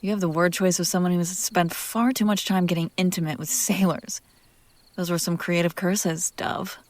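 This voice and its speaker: Low-voiced, sardonic demon woman, acted by a woman. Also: fairly even intonation, normal speed, natural voice. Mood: amused teasing. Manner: teasing, wry, conversational, breaking into hushed whispers. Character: possessive, sardonic, curious female demon who bought an angel. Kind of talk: intimate audio roleplay drama addressed to the listener.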